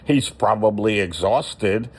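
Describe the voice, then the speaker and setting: A man's voice: fairly low pitch, takes it slowly, a little mellow, husky. A man voicing a grumpy, blunt and dismissive husband.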